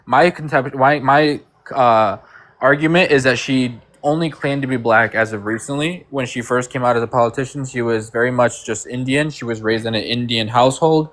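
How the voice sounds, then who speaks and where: A man's voice: mid-range voice, normal speed, a bit bright. A young man arguing hard in a heated online political debate, swearing and mocking his opponents. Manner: argumentative, mocking impressions, swearing.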